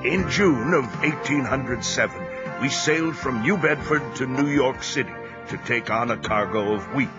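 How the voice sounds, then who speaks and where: A seafarer recounts his life voiced by a man in measured audio drama narration. The voice sits slightly dulled in the recording, with music clearly behind it. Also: medium pitch, dull audio, lightly acted. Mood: reminiscent.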